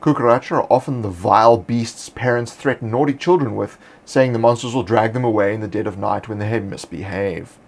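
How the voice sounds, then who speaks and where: A man hosting, reading fantasy monster lore in a measured, scripted narration. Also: fairly low pitch. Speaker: host.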